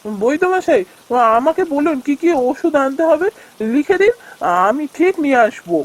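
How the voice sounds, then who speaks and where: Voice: high-pitched, animated, moderate pace. An actor playing a humble, helpful poor village husband in a fairy-tale cartoon, with some background noise. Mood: earnest, reassuring.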